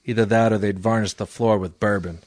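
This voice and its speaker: An actor playing a world-weary, cynical private detective in old-time radio noir style, deadpan and wisecracking. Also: male voice, on the low side, a little fast, no echo, lightly acted. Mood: wry, weary narration. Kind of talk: 1940s noir radio detective acting with first-person narration.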